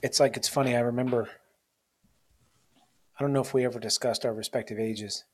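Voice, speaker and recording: A man's voice: medium pitch, steady tone, brisk, a little mellow, faint music behind. A man in his forties hosting a podcast, chatting in a rambling, self-mocking way with lots of fillers. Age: 40s. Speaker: podcast host. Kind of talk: casual interview chat.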